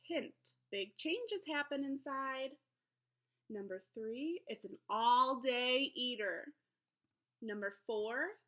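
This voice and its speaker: A woman teacher reading a children's book aloud in an expressive way, pausing to explain things simply to young listeners. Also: fairly high pitch. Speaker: elementary school teacher.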